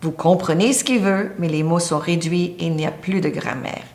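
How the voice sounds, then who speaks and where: A woman's voice: fairly low pitch, normal speed, darkish tone. A woman lecturing on a medical topic, explaining step by step and pointing to a diagram. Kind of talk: teaching lecture.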